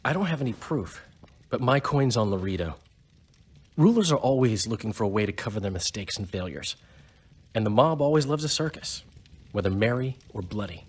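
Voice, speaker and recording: An actor playing a wry, eloquent, cynical bard in a fantasy video game, with a husky voice. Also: male voice, fairly low pitch, expressive, a little fast. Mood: cynical, brooding. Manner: wry, theatrical phrasing.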